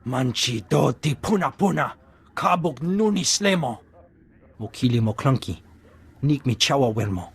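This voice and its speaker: Husky alien henchman. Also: mid-range voice, lively intonation, unhurried, heavily acted. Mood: brusque.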